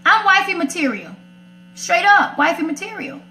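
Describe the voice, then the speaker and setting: A woman's voice: very high voice, animated, moderate pace, bright, forceful tone. An American woman hosting a casual radio chat, outspoken, sassy and emphatic as she gives her opinions. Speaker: radio segment host. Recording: roomy echo.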